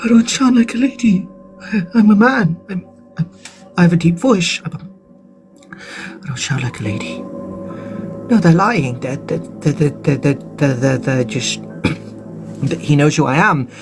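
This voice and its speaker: A man livestreaming who stammers and pleads in an indignant, flustered way, his voice going high and squeaky when upset. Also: expressive, measured pace, long pauses, over music. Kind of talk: comedic vegan-activist livestream character.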